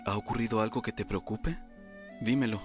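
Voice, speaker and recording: A man playing a tender, worried young nobleman in an audiobook drama, with soft-spoken concern. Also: on the low side, a little fast, over music, close to an everyday voice.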